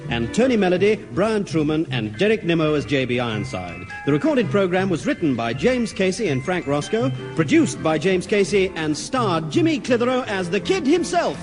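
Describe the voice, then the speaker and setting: A man's voice: high voice, big pitch swings, moderate pace, few pauses. A man reading scripted closing credits as a 1960s radio announcer. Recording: vintage radio, loud music and audience.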